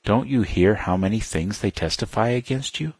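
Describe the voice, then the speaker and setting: A man's voice: lowish voice, normal speed, a little mellow, husky, dry recording, voice pushed up. A man reading scripture aloud, raising his voice a little as a pleading Roman governor. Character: anxious Roman governor, middle-aged man.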